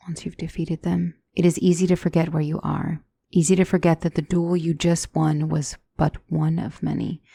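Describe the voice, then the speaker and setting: A woman playing a gentle demonic tutor in a scripted ASMR roleplay, speaking softly and deliberately as a calm battle mentor. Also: on the low side, gravelly, dry recording. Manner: measured, deliberate, soft-spoken, instructive.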